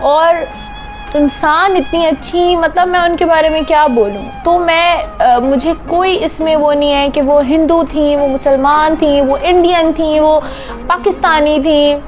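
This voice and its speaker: High-voiced, slow woman in mourning. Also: fairly even intonation, soft background music. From Pakistan. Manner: halting, searching for words, mixing in English phrases. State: grieving, sorrowful. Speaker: singer paying tribute. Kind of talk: heartfelt spoken tribute to camera.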